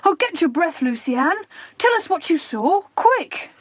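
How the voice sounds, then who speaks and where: A woman voicing excitable, earnest children on an adventure in an audiobook. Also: very high-pitched, a little slow, no echo, exaggerated voice. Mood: alarmed, urgent. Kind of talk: audiobook character dialogue.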